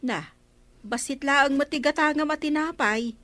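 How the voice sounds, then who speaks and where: Village shopkeeper, fairly high voice, Ilocano accent, chatty, dry sound, radio drama. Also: female voice, a little slow, fairly bright tone, dry recording. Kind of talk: comedy radio drama acting.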